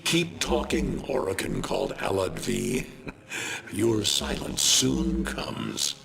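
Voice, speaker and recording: An actor voicing an ancient, vengeful alien being who speaks in slow, ominous pronouncements. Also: male voice, low voice, fairly bright tone, exaggerated voice. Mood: menacing. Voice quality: gravelly. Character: ancient menacing sentient being, male, huge, vengeful.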